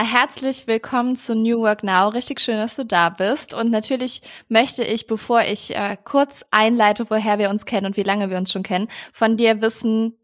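A woman's voice: medium pitch, a bit bright. A woman hosting a podcast, speaking in a friendly, conversational interview style. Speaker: podcast host interviewing a guest.

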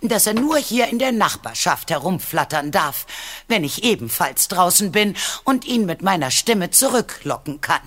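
An articulate, worried pet owner. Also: female voice, lowish voice, sing-song, moderate pace, a bit bright. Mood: friendly, explaining. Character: worried, friendly woman, owner of a pet parakeet. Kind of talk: German audio drama acting.

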